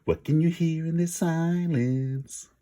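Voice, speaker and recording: A man's voice: fairly high pitch, steady tone, slow, dark tone, pitched above the performer's own voice. A man voicing a mocking, boastful and greedy prehistoric human in a storybook read-aloud, stretching words for emphasis.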